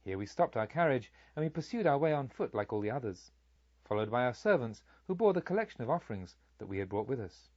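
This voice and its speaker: A man reading a literary story aloud as an audiobook narrator, in a measured, formal storytelling manner. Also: mid-range voice, sing-song, darkish tone, no echo, dull audio.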